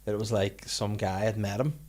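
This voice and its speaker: A man's voice: on the low side, little pitch movement, brisk, a little mellow. A man in his late thirties, a podcast guest telling crude, playful stories. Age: 30s. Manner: playful, crude storytelling with put-on quotes. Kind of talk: casual chat.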